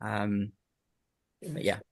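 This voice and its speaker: A man from Afghanistan speaking in a group discussion, halting and repeating words. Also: on the low side. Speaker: discussion participant.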